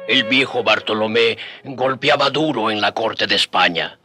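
A grave native storyteller in a radio serial. His voice is low yet very forceful and bright, solemn and proud, with music behind it. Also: moderate pace. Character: grave native narrator recalling the conquest he resisted. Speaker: narrator. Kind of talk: dramatized radio serial narration.

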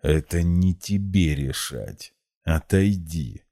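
Guy acting a desperate feverish young hero, audiobook, low dark voice, intense and curt. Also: a little slow. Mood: cold anger. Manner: intense, curt, through clenched teeth. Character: feverish war-worn young man, desperate and resolute.

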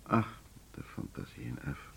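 A man's voice: measured pace, sharp tone. An actor playing a courteous, formal middle-aged chairman of a music school board in a Dutch radio drama. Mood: courteous, nostalgic. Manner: formal, polite, wordy.

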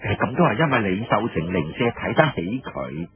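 A man's voice: on the low side, very animated, a little fast. A man voicing a nervous, deferential surrendered officer in an audiobook story, reporting to a commander with hesitant, obsequious care. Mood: careful reporting. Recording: muffled lo-fi roomy radio-like recording.

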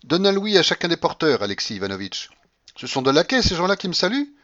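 Man playing an imperious old Russian grandmother, shrill-pitched. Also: sing-song, fast talker, a bit bright, highs cut off. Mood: elated, commanding. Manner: blunt, bossy, exclamatory.

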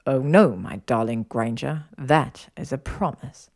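A woman's voice: a bass voice, unhurried, a little mellow, pitched below the performer's own voice, exaggerated voice. A woman voicing a cocky, easily stung young man with a smug drawl in a story reading. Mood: teasing. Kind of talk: fan fiction audiobook dialogue.

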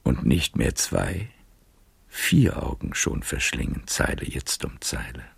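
An aged, dreamy seducer in an intimate radio play. His voice is extremely low and very husky, murmured close and dry. He lingers tenderly over his phrases, halting as he savours the story. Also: sing-song, no room sound, heavily acted. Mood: hushed, spellbound. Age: older. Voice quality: husky, gravelly, breathy murmur. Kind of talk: intimate radio drama acting.

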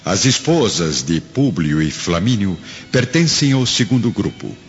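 A man's voice: on the low side, sing-song, a little slow. A man narrating a radio drama in a solemn, literary, scripted style. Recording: dull audio. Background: music.

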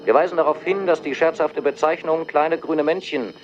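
An actor playing an urgent air force officer, sending clipped, official dispatches over a military radio in a radio drama. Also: male voice, a little high, normal speed. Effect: military radio filter. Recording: dull, noisy radio transmission.

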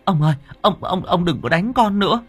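A man's voice: sits high, animated, fairly quick, fairly bright tone, husky, faint music behind, voice pushed up, exaggerated voice. A man voicing a tearful middle-aged wife and mother in an audio story, pleading in repeated wails. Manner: wailing, repetitive pleading. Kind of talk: acted dialogue in audio storytelling.

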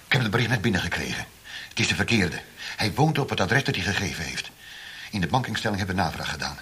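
A worried male interrogator in a radio play. His very husky voice swoops up and down as he protests in agitation. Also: deep voice, very animated, fast, bright, forceful tone, full character voice. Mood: agitated, doubting. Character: agitated, doubting male colleague of a torturer. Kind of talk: radio drama acting.

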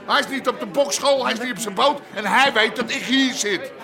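An actor playing a bullying old-style crime boss in a Dutch radio drama, coarse and demanding. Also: male voice, very high-pitched, brisk, vocal fry, pushed and bright, heavily acted. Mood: exasperated.

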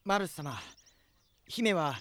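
A young knight in a radio drama. His voice sits slightly high, and he speaks with earnest, dutiful formality, like a soldier reporting. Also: animated, slow, fairly bright tone. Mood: dutiful, concerned. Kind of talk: Japanese radio drama acting.

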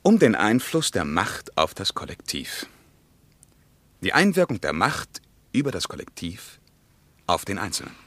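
An intense, low-voiced, declamatory German writer. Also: male voice, huge pitch swings, a bit bright, no room sound, full character voice. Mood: earnest. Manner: declamatory, emphatic, deliberate phrasing. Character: intense German writer explaining his story of oppression. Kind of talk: 1950s-60s radio drama acting.

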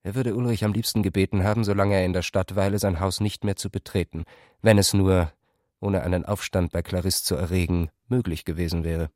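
A man with a very deep voice reads scripted literary prose for a radio play. The recording is dry, with almost no room sound. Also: steady tone, brisk, darkish tone, no room sound. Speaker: narrator and character reader.

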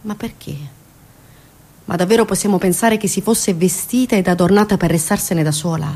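A woman's voice: on the low side, normal speed, dark tone. A woman performing a dramatic theatrical monologue, impassioned and emphatic, repeating phrases for weight and putting indignant questions to her listeners. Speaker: stage actress performing a monologue.

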